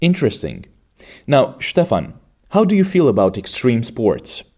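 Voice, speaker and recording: Lively-voiced male podcast host. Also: mid-range voice, measured pace. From Romania. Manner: articulate, formal teacherly delivery. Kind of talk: scripted exam-prep podcast hosting.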